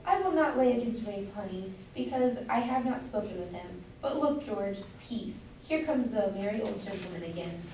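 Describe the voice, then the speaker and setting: A woman's voice: mid-range voice, expressive, moderate pace, few pauses, darkish tone, natural voice. A woman playing a meddling, chatty citizen's wife who comments on a play, in an amateur staged reading. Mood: insistent. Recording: roomy, distant mic, faint noise.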